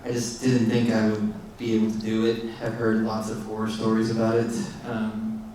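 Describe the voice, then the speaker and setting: Man, filmmaker at a Q&A; halting, um-heavy, flat delivery, picked up from far off in an echoey theater. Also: on the low side, a little hiss or hum. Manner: halting, many fillers and restarts. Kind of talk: post-screening Q&A answers.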